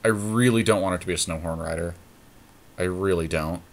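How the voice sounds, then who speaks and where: Low-voiced man thinking aloud. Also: brisk. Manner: deliberating, thinks out loud. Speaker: podcast co-host. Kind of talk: casual chat, thinking aloud while playing a card game.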